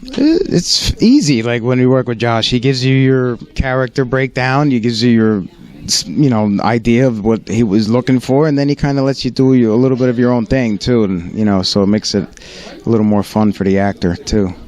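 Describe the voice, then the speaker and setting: A man's voice: mid-range voice, normal speed, a little mellow. A man actor answering red carpet questions in an easygoing way, laughing often.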